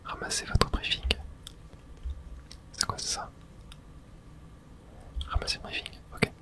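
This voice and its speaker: Someone whispering in a hushed, unvoiced way through an ASMR video game playthrough, reading dialogue aloud. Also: slow.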